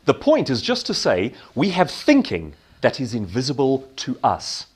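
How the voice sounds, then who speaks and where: Male keynote speaker, medium pitch, highly animated and emphatic, motivational delivery built on rhetorical questions. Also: normal speed, husky.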